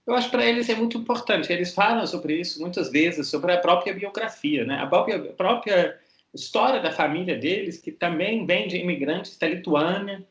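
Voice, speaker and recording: A man, a museum director and curator, answering interview questions thoughtfully and pausing to search for words. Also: high voice, fairly bright tone, roomy sound. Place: art museum gallery.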